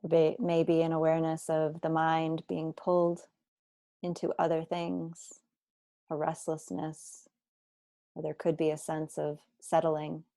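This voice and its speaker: Low, mellow woman guiding meditation. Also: monotone, no echo. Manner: slow, deliberate, with long pauses; gentle, unhurried instructing. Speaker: meditation teacher. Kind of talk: guided body scan meditation.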